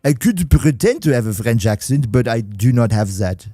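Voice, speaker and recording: A man's voice: medium pitch, expressive, moderate pace, dark tone, no room sound, clean studio sound. German man chatting on a gaming stream. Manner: chatty, reads and answers chat, laughs often. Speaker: livestream host. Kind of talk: casual gaming livestream chat.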